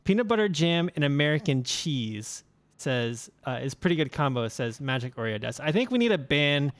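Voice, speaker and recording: A man's voice: fairly high pitch, very animated, normal speed, no room sound. A man from Brazil whose first language was Portuguese, co-hosting a racing live stream in casual chat. He talks loosely with many "like" fillers and reads viewer comments aloud. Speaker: race stream co-host.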